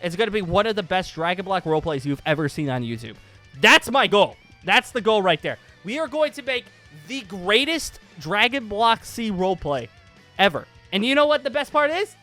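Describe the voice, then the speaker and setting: A man giving a casual gaming channel update as host, rambling along in an excitable, emphatic way. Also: high voice, moderate pace. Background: background music.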